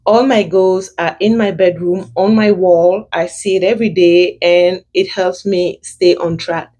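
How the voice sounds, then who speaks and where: A young woman with a thick French-speaking accent, giving casual money advice to her viewers, pausing often with fillers and restarting her sentences. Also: lowish voice, fairly even intonation, mellow. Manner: hesitant, many fillers and restarts. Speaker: vlogger. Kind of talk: casual personal finance advice.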